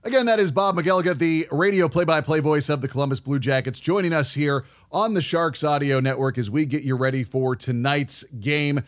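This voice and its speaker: A man's voice: on the high side, brisk, hardly any pauses. A man hosting a sports radio show, asking questions and presenting in a conversational way. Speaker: sports podcast host, interviewer.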